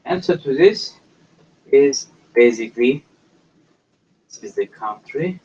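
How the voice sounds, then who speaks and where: Man, language lecture, very slow, husky, muffled lo-fi sound. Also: mid-range voice, animated, darkish tone. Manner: hesitant, halting, with many pauses and fillers. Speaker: language teacher. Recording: dull lo-fi recording.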